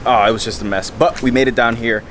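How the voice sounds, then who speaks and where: A man's voice: medium pitch, steady tone, fast talker, fairly bright tone, a little hiss or hum. A young man from Puerto Rico, a powerlifter, chatting to camera in a loose, rambling, upbeat way while driving his car. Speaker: vlogger and powerlifter. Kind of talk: casual vlog chat to camera.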